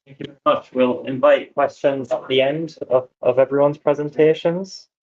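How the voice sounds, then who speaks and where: A man's voice: mid-range voice, fairly even intonation, normal speed, a little mellow, dull audio. A man moderating a conference session, politely introducing the next speaker.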